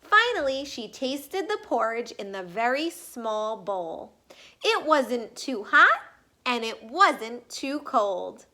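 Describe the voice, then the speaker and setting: A woman narrates a children's story in a high, bright voice. She reads expressively and unhurriedly, with big swings of pitch. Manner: animated, deliberate storytelling with emphatic words.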